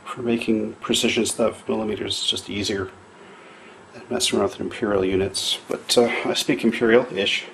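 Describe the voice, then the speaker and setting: A middle-aged man from Canada talks through a repair job in his kitchen, loose and chatty, circling back to correct himself as he goes. His voice sits at an ordinary middle pitch. A faint hiss of room noise hangs under it. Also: vocal fry. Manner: rambling, chatty, thinks aloud with fillers. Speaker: DIY maker vlogger. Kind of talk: casual how-to narration. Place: home kitchen.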